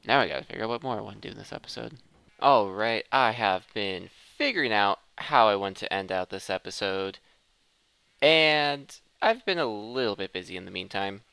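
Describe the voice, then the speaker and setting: A man's voice: on the low side, lively intonation, normal speed, creaky, pushed and bright, smooth, dry recording. A man hosting a gaming video, giving casual commentary and thinking aloud as he talks through each step. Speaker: host of a gaming video series.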